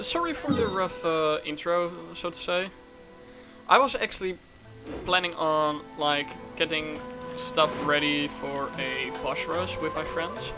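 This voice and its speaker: A man giving casual let's play commentary as a gaming channel host, halting and full of fillers. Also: a little high. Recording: game music behind the voice.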